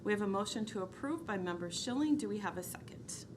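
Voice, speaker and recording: A woman's voice: mid-range voice, animated, quick pace. A woman with a Minnesotan accent chairing a city council meeting, reading agenda items in formal procedure with frequent ums. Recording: noisy council chamber recording.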